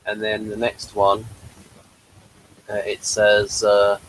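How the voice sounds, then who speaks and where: Hesitant man with halting speech. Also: on the low side, monotone, fairly bright tone, poor audio. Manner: hesitant, halting, many fillers. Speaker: podcast co-host. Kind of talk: casual review chat.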